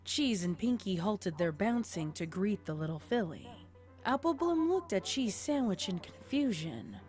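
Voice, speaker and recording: A woman's voice: medium pitch, very animated, a little slow, a little mellow, soft background music. A woman reading scripted story narration as the narrator of a tale.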